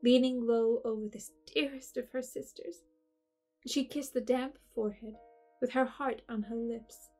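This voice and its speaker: A woman narrates a period story in measured storybook style. Her voice sits fairly high, with faint music beneath it. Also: fairly even intonation, darkish tone. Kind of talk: scripted narration.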